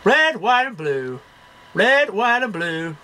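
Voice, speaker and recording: A man's voice: high voice, very animated, slow pace, pushed and bright, slight background noise, poor audio. A middle-aged man reading poems aloud in a declamatory, sing-song way, one line at a time. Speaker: amateur poetry reader. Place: small cluttered bedroom. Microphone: webcam at about arm's length.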